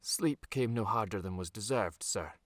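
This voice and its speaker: A young soldier, acted by a male audiobook narrator, answers dutifully in a low, croaky rasp. He sounds sore but obedient, like a subordinate before his officer. The recording is dry and free of room sound. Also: normal speed, fairly bright tone, dry recording, natural voice. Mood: pained, subdued. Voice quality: croaky, rasping. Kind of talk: audiobook character dialogue.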